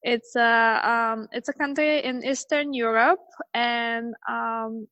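A woman's voice: on the high side, steady tone, takes it slowly, pushed and bright, dry recording. A woman in her thirties, from Bulgaria, talking as a guest in a casual remote interview, hesitant with many ums and laughs. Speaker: guest, orthodontist and travel blogger.

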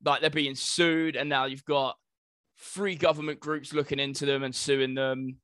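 A man's voice: mid-range voice, flat delivery, moderate pace, a bit bright, clear, resonant tone, no room sound, clean studio sound. A British man co-hosting a podcast, chatting casually about the news. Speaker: podcast co-host.

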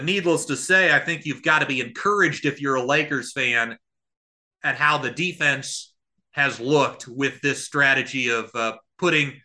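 A man calling a live basketball game as a radio play-by-play announcer, with an excitable delivery. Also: mid-range voice.

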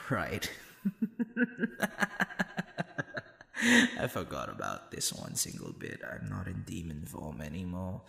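Man playing a gravelly, suave demon boyfriend. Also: low-pitched, echoey room, heavily acted. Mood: amused, teasing.

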